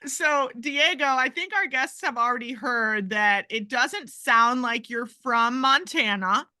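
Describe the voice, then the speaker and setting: A woman's voice: on the high side, takes it slowly, pushed and bright, no echo. A woman hosting a podcast, upbeat and enthusiastic as she welcomes and introduces her guest. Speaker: podcast host.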